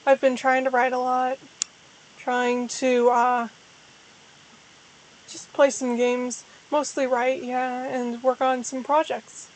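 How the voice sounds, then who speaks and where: Hoarse young woman chatting on stream. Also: high-pitched, flat delivery, takes it slowly, long pauses, low-quality recording. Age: young adult. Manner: rambling, self-deprecating, frequent restarts. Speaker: VTuber streamer. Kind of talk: casual livestream chat.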